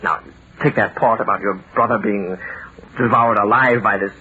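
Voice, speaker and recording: An actor playing an impatient, skeptical defense lawyer in an old radio drama, reasoning incredulously, on a dull, noisy recording. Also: male voice, fairly low pitch, fairly even intonation, moderate pace, pushed and bright.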